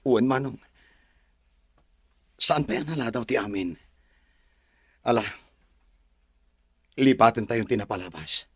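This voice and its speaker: An actor with an Ilocano accent playing a calm, forgiving younger brother in a drama. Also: male voice, mid-range voice, a little slow, pauses often, slightly rough, no room sound, studio quality, heavily acted. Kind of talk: drama dialogue.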